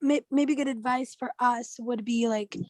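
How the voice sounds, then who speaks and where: A woman's voice: on the high side, fairly even intonation, unhurried, no room sound. A woman leading a casual group discussion, thinking aloud in a tentative way. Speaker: study group discussion leader.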